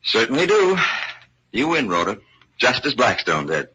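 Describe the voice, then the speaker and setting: A man's voice: mid-range voice, wildly swooping pitch, moderate pace, sharp tone, breathy edge, highs cut off, heavily acted. An actor playing an eager, good-natured American man puzzled by magic, in earnest 1940s radio style on an old broadcast recording.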